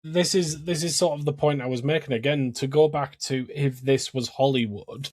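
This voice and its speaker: A man's voice: medium pitch, steady tone, normal speed, a little mellow, dry recording. A man in casual film discussion as a podcast co-host, speaking in a blunt, opinionated way with some swearing.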